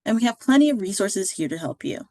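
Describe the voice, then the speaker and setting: A woman presents scripted career guidance in a mid-pitched voice. Her delivery is measured and instructive, like narration for a training slideshow. The recording is close and dry, with very little room sound. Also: lively intonation, mellow, no echo.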